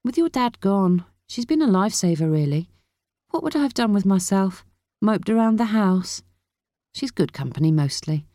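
A soft-hearted older mother, played by a female narrator in an audiobook story. She is gentle, placating and worried, with a slightly lifted pitch and a dry, close sound. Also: animated, normal speed, no echo, natural voice. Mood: wistful.

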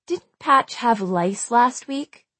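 A woman voicing a sharp-tongued, combative teenage girl in an audiobook, with biting sarcasm. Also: a little high, lively intonation, slow, dry recording, pitched above the performer's own voice, full character voice. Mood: sarcastic. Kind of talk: audiobook character dialogue.

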